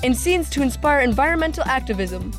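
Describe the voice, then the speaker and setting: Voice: sits high, animated, brisk. A young teenager presenting a scripted podcast intro and outro. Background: synthesizer keyboard music.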